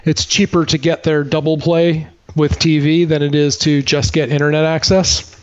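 A man's voice: on the high side, little pitch movement, normal speed, no echo. A man from the United States co-hosting a podcast, chatting casually with a wry, sarcastic manner. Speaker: podcast co-host. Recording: dull, lo-fi podcast audio.

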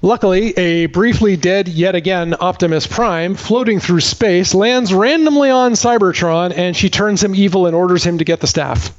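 A man with a notably high-pitched voice gives a comedic movie recap as a podcast host. His delivery is sardonic and exasperated, like someone fed up with the story he is telling. The audio is a little dull and lo-fi. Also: normal speed, few pauses.

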